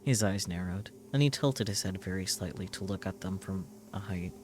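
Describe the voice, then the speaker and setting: A man streaming a visual novel, reading its narration aloud in a deliberate storytelling way. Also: low-pitched, background noise.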